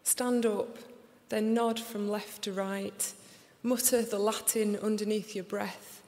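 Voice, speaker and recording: Slow Sheffield woman reading poetry. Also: medium pitch, little pitch movement, a little mellow. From England. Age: young adult. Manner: slow, deliberate recital. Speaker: poet. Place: large concert hall. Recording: live reading in a reverberant hall.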